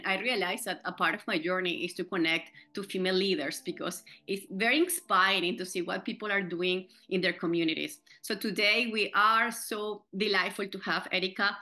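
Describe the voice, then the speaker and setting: A woman's voice: medium pitch, sharp tone. A woman in her thirties from Venezuela with a Venezuelan Spanish accent, hosting a podcast over a video call, talking hesitantly with many fillers and restarts. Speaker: podcast host. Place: home room, indoors. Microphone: video call, webcam.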